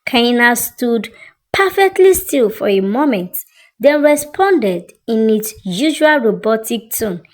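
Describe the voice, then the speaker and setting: Woman narrator for suspenseful story. Unhurried delivery, wide swings in pitch, expressive. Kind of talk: scripted dramatic story narration.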